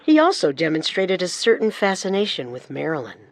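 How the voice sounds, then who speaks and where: Expressive, lower-pitched woman narrator. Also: fairly quick. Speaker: narrator, co-host. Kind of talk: scripted true-crime narration. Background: music.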